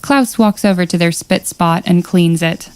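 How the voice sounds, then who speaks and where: Casting: female narrator for an audio play, slightly low voice, reading out stage directions from a script. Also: moderate pace, clear, resonant tone.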